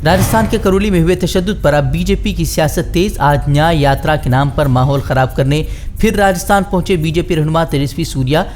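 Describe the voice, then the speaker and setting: A man's voice: a little high, brisk, a little mellow. A man reading scripted speed news headlines as a news presenter. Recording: background music under the voice. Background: news background music.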